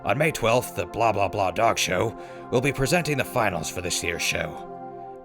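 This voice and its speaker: A man playing a wry, informal ghoul radio host in an audio drama, reading news and ads and given to grumbling. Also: on the low side. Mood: irritated, mocking. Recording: music behind voice.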